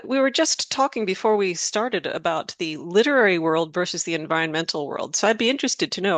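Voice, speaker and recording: An interviewer asking long, measured and polite questions of an author. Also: medium pitch, fairly bright tone, dry recording.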